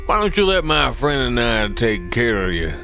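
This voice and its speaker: An actor playing a menacing, volatile drunk thug in a cinematic audio drama, with a taunting, sneering delivery. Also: male voice, medium pitch, sing-song, moderate pace, vocal fry, pushed and bright, soft background music, heavily acted. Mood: leering.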